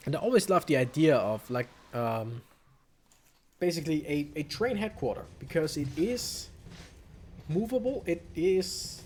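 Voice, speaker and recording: A man's voice: on the high side, animated, measured pace, a little mellow, husky. A man giving casual, rambling commentary as he plays through a video game, musing aloud. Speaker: video game let's player. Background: faint game music.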